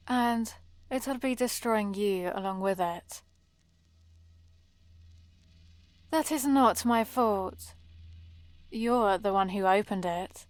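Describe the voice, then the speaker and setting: A woman's voice: mid-range voice, no echo, lightly acted. A woman playing a prim, bossy and exasperated vampire librarian in a scripted ASMR roleplay, scolding in a fussy, theatrical way. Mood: indignant, scolding.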